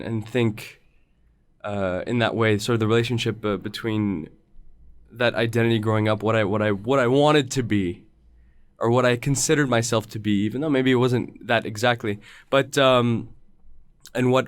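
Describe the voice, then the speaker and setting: A man who grew up in the United States, a podcast guest speaking thoughtfully in casual conversation, with halting restarts. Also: lowish voice, clean tone, no echo.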